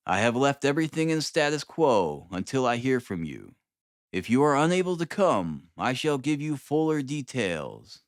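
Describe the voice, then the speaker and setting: A man narrating a classic novel as an audiobook, expressive, with deliberate, dramatic phrasing. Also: mid-range voice, no echo, clean studio sound.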